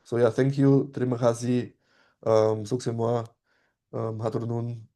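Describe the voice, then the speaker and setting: A man whose first language is German, presenting an online study-abroad info session in a steady voice with frequent ums. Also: medium pitch, a little slow. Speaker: study abroad program representative.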